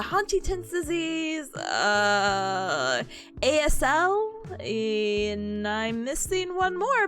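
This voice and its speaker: A woman's voice: extremely high pitch, a bit bright. A young woman streamer chatting casually with her viewers, bubbly, giggly and playful, reading comments as she goes. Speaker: study streamer.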